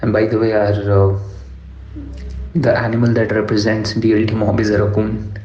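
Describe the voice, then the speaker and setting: A man's voice: fairly low pitch, flat delivery, moderate pace, roomy sound, muffled recording. A man, a rapper answering interview questions in a rambling, hesitant way with many fillers. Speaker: rapper, podcast guest.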